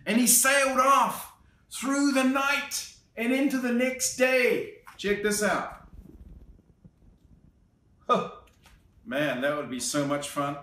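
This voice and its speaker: A man in his thirties reading a picture book aloud, slow and expressive, with playful asides, seated in an armchair indoors. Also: extremely high pitch. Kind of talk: children's storytime read-aloud. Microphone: camera on a tripod a few feet away. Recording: roomy, slightly distant sound.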